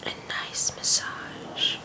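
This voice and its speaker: Young woman, ASMR host persona: breathy whisper, unhurried, girly and self-admiring. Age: young adult. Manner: breathy, slow, vain, girly intimacy. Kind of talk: whispered ASMR role-play. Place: small bedroom, indoors.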